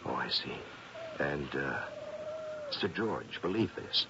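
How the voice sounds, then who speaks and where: A gentleman ghost hunter doctor, really low creaky voice, polite but probing, old radio drama sound. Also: big pitch swings, full character voice. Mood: courteous, curious. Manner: courteous, probing, deliberate. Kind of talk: 1970s radio drama acting. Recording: dull, noisy vintage radio broadcast.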